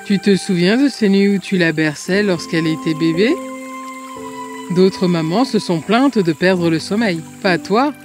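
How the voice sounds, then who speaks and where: A woman voicing a kind grown family friend in a cartoon story, gently encouraging in a measured way. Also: lowish voice, music in the background, close to an everyday voice. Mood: reassuring.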